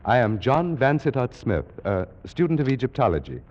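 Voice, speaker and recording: An actor playing an astonished gentleman student of Egyptology, narrating and speaking dramatically in an old-time radio drama. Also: mid-range voice, sing-song, moderate pace. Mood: nervous. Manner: dramatic, stammering when startled. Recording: muffled old radio recording.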